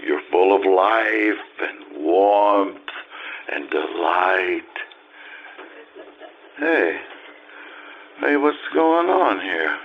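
A man playing an impulsive, smitten romantic in an old-time radio play, with a halting, declamatory delivery. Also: low voice, expressive, exaggerated voice. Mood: ardent, tender. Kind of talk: old-time radio acting, live stage performance. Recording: dull, noisy lo-fi stage recording.

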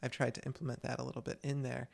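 A man's voice: medium pitch, little pitch movement, racing, vocal fry. A man co-hosting a developer podcast, chatting casually and rambling with frequent restarts. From United States. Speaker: podcast co-host. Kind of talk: casual chat.

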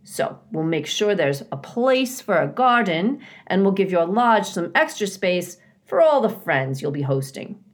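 Woman acting a lively, folksy beaver builder fellow. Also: medium pitch, a little slow, few pauses. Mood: folksy, teasing. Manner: folksy, chatty, bossy. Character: gruff, folksy, bossy but kindly male beaver builder. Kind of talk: children's storybook character voice.

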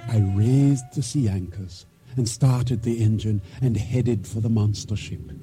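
Very dark, mellow voice of an uneasy boatman acted by a man, recounting his ordeal in measured, formal phrases. It is a radio drama performance with clear music underneath. The telling is simple, dignified and trusting. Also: lowish voice, natural voice. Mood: solemn, suspenseful. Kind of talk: first-person radio drama narration.

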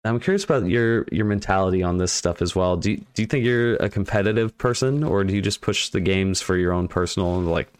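A man hosting a casual interview, chatty and upbeat with quick reactions. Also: low-pitched, clean tone, no echo, studio quality. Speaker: podcast host.

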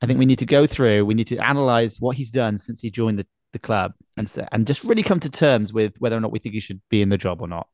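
A man's voice: fairly low pitch, fast talker, hardly any pauses, darkish tone, no room sound. A man hosting a podcast, talking casually through sports discussion. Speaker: podcast host.

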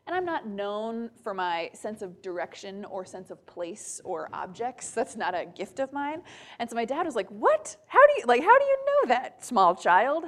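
Woman giving a chill sermon, lots of um and right?, mid pitch, chatty. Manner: chatty, many "um"s and "right?" tags, soft laughs. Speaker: preacher. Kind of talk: conversational sermon.

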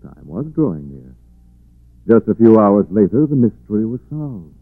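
A suave, lowish-voiced detective. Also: male voice, very animated, dry recording. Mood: musing. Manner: measured, musing. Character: suave, thoughtful gentleman detective recounting a case. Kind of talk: 1940s radio acting. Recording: muffled narrow-band 1940s radio recording.

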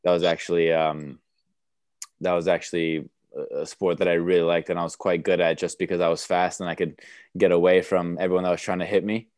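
Low, flat-voiced young man with restarts. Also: brisk, smooth, no echo, clean studio sound. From United States. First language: Romanian. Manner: relaxed, many fillers and restarts. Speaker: tennis player guest. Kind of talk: interview answers.

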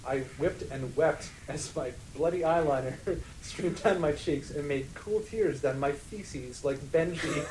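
A man's voice: a little high, measured pace, darkish tone, slight background noise, poor audio. A man co-hosting a podcast, giving a mock-serious, theatrical comic reading of fan fiction. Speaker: podcast co-host.

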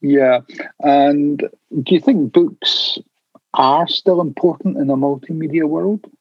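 Husky male interviewer, dry sound. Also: on the high side, takes it slowly, no echo, highs cut off. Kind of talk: conversational interview questions.

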